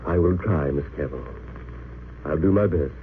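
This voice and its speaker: Compassionate prison reverend, deep, solemn, formal. Old-time radio acting, thin phone-like sound. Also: male voice, normal speed. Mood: subdued sorrow. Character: grave, compassionate male prison chaplain. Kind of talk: 1930s-40s radio drama acting. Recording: tinny, noisy vintage radio recording.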